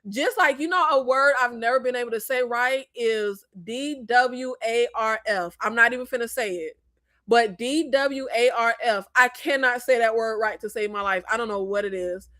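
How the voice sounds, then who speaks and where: Animated young Floridian woman, high and dry. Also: normal speed, dry recording. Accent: Floridian Southern slang. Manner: animated, emphatic, jumps into high pitch when exasperated. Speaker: live stream host discussing movies. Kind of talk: casual live chat reading viewer comments.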